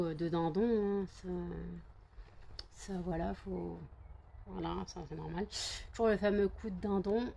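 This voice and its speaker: Lady vlogging at home, lowish voice, lots of euh and repeats, faint room noise behind. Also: dark tone. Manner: rambling, many fillers and repeated words. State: just woken up, groggy. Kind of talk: casual chat to camera.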